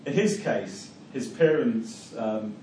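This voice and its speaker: A middle-aged man with a Geordie accent lectures to a class, his voice dark and mellow. He stumbles and repeats words as he thinks aloud. The mic sits far off in an echoey room with faint noise. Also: medium pitch, lively intonation, slow pace. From Newcastle, England. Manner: hesitant, repeats words, many fillers. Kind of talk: university lecture with class discussion.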